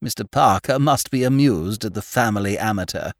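A man voicing a stately duchess, brisk, formal and coolly polite, in an audiobook. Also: lowish voice, lively intonation, dry recording, studio quality, pitched above the performer's own voice. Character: stately, brisk aristocratic English lady, a duchess.

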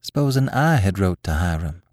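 Man voicing a resolute farm wife, animated intonation. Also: fairly low pitch, a little fast, dry recording, clean studio sound, lightly acted. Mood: muttering resolve. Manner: homely, firm, rustic grammar. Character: resolute middle-aged farm wife and mother, rural plain-spoken.

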